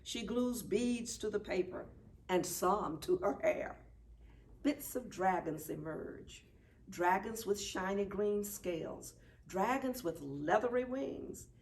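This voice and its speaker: A woman reading a children's picture book aloud at story time, expressive and deliberate. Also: mid-range voice. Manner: deliberate, animated. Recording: distant mic, faint background noise.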